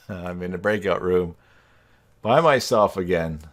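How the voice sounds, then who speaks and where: A man's voice: deep voice, slow. A man hosting a casual live stream over a video call, chatting in a rambling, jokey way.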